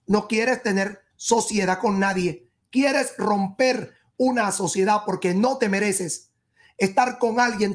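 A woman giving a tarot reading straight to the listener, emphatic, repeating and rephrasing in short phrases. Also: medium pitch. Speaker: tarot reader and horoscope host. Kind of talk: spoken tarot reading addressed to the viewer.

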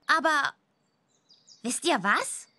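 A woman's voice: sits high, expressive, slow, pushed and bright, exaggerated voice. A woman playing a sulky, cheeky teenage witch girl in a cartoon game, with a snotty drawl.

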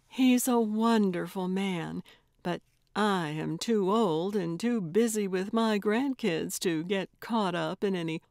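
A woman as a candid, unhurried grandmother. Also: medium pitch, darkish tone, no echo, clean studio sound. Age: older. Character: candid, cheerful, fun-loving grandmother, gently teasing. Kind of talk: audiobook character voice.

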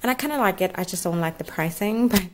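A woman's voice: mid-range voice, lively intonation, quick pace. Chatty South African woman, noisy recording. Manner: informal, chatty, frequent "like" asides. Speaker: family vlogger, mother. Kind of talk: casual chatty haul vlog. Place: indoors at home, kitchen and table. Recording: clearly noisy home recording with clicks.

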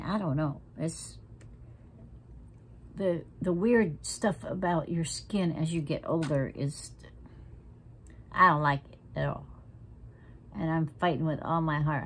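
A woman's voice: low-pitched, a little slow, pauses often, husky. Chatty, feisty woman in her sixties. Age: 60s. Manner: chatty, frank and feisty, drops to half-whispered asides. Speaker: makeup vlogger. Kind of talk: casual makeup tutorial chat. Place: living room at home, indoors. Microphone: camera close in front.

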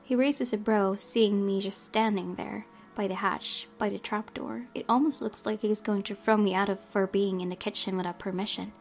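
A woman plays through a story game, reading it aloud and reacting as she goes. Her voice is medium-pitched and expressive, with a fretful edge. Game music sits faintly behind her. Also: normal speed, few pauses. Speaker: gaming video host. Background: background game music.

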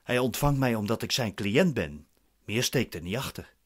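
A man's voice: medium pitch, lively intonation, normal speed, natural voice. A man playing a proud, impatient accused man in a radio drama, curt and formal in manner. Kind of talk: radio drama acting in Dutch.

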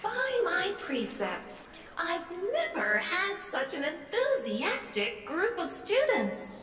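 A woman's voice: very high-pitched, wildly swooping pitch, slow pace, reverberant, far from the mic, crowd noise, full character voice. A woman playing a strict, prim schoolteacher in a science fiction game, commanding and dryly scolding. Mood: prim, brisk. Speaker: teacher.

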